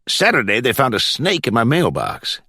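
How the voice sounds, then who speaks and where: A man's voice: mid-range voice, wildly swooping pitch, normal speed, bright, forceful tone, breathy edge, dry recording, clean studio sound, voice pushed up, full character voice. A man voicing a cocky, irritable judge in an audiobook, with a curt, folksy, impatient way of talking. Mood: irritated, boastful. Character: brusque, blustering male judge, cocky and irritable.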